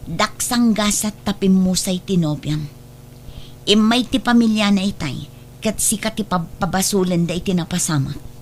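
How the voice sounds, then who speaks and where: A woman's voice: medium pitch, moderate pace, darkish tone, natural voice. A woman acting a wronged, protective middle-aged mother in an Ilocano radio drama, speaking earnestly. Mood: stern concern. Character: wronged protective mother, firm, hurt and resolute. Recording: slightly noisy radio drama track.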